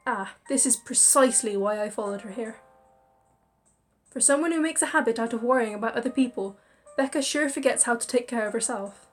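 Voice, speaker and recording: A woman reading a visual novel aloud with expressive storytelling and chatty asides. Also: fairly high pitch, brisk, faint music behind. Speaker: game narrator.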